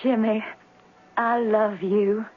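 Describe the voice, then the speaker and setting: An actress playing a prim, coy young small-town sweetheart, gently teasing and proper, in an old-time radio drama. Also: female voice, mid-range voice, steady tone, slow pace, over loud music, natural voice. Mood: admiring, tender. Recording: muffled phone-like vintage radio sound.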